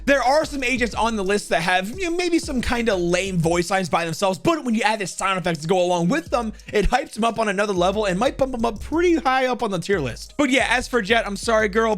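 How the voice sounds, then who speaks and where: A man's voice: sits high, fairly bright tone. A man hosting a video, giving casual, opinionated ranking commentary on a video game in an informal, conversational way. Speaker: video host.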